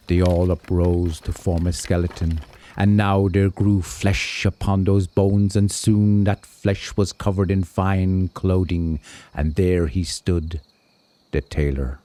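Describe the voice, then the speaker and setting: A man narrating a folk tale as a storyteller, with theatrical, deliberate phrasing. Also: deep voice, warm tone.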